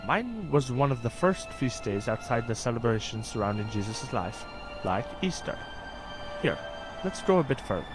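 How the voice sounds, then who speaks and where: An actor playing a kindly, gently wry young saint who guides and explains, a touch pedantic, in a children's audio drama. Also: male voice, medium pitch, big pitch swings, moderate pace, over music, lightly acted, low-quality recording. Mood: informative, cheerful.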